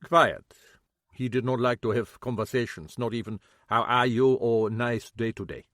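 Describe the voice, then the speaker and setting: A man voicing a gruff old German cobbler with broken English and a German accent. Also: medium pitch, steady tone, moderate pace, fairly bright tone, no room sound, clean studio sound, lightly acted. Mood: prickly. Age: older. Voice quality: clipped broken English, prickly.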